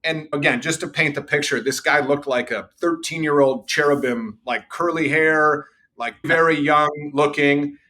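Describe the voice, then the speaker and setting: A man's voice: a little high, normal speed. A middle-aged man, a sales executive, telling confident stories from his career in a podcast interview. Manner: confident, anecdotal, says he has a loud voice. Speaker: revenue executive guest.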